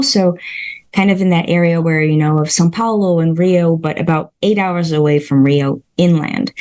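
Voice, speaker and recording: A woman's voice: fairly low pitch, warm tone. A woman from Brazil whose first language is Portuguese, speaking as a podcast guest in an easygoing, meandering way with many fillers and restarts. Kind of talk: reflective conversational storytelling.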